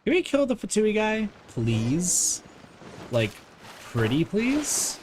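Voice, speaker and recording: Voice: mid-range voice, huge pitch swings, slow pace, slight background noise. A game streamer giving casual live commentary in playful, muttered asides. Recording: loud game music behind commentary.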